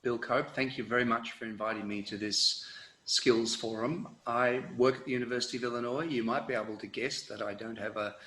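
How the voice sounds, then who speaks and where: Middle-aged Australian man, mid-pitched, casual lecturing professor, echoey room sound. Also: a little fast. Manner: relaxed, rambling lecture with many fillers and restarts. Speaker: education professor. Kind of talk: online conference presentation.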